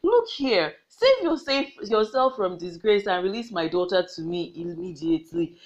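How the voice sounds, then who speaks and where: A woman's voice: medium pitch, lively intonation, unhurried, lightly acted. A woman with a Nigerian accent voicing a grieving, fierce old village mother who pleads with her ancestors. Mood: mocking. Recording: slightly muffled lo-fi recording.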